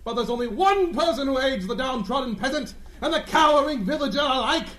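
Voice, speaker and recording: A man playing a pompous, self-adoring gentleman adventurer, declaiming floridly in a melodramatic comedy radio play. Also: very high voice, lively intonation, a little fast. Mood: bombastic narration.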